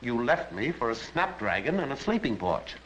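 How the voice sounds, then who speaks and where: An actor playing a vain radio singer and husband, wry and theatrical, in a 1930s film. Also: male voice, mid-range voice, sing-song, moderate pace, a bit bright, a little hiss or hum. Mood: sulky. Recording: muffled old film soundtrack with music.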